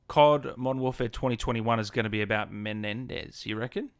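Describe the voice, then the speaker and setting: A man's voice: mid-range voice, fairly even intonation, normal speed, vocal fry, no room sound. Australian man chatting casually, dry close sound. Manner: informal, chummy, addresses viewers as guys and man. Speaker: gaming streamer and host. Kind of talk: casual livestream chat, answering viewers' comments.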